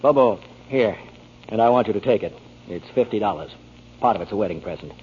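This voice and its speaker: An actor playing a kindly, authoritative doctor in a 1940s radio drama. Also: male voice, lowish voice, animated, fairly quick, breathy edge. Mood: friendly. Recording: dull 1940s radio broadcast recording.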